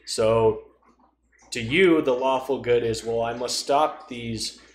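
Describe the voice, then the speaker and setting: A man's voice: medium pitch, slow pace. A man in a casual podcast chat about tabletop role-playing, explaining things through made-up examples. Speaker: podcast speaker. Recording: echoey room with faint background noise.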